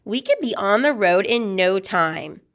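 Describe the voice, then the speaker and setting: An easygoing father pig from a children's storybook, read by a woman. He dawdles and explains things breezily, in slow phrases that rise and fall freely. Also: mid-range voice, lively intonation, muffled recording. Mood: breezy.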